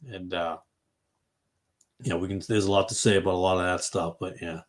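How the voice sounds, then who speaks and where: A man's voice: sits low, fairly even intonation, a little fast. Rambling fifty-something man hosting a chat. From Massachusetts, US. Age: 50s. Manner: rambling, thinks aloud with many fillers; reacts to viewers' comments as he goes. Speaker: host of an online fellowship chat. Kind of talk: casual livestream group conversation.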